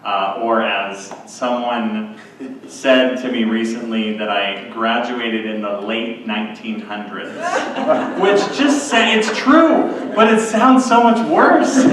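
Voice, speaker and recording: A man's voice: medium pitch, expressive, slow pace, fairly bright tone. A man in his forties, a school board member, speaking hesitantly with many fillers at a public meeting. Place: meeting room. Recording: echoey room, distant mic, faint hiss.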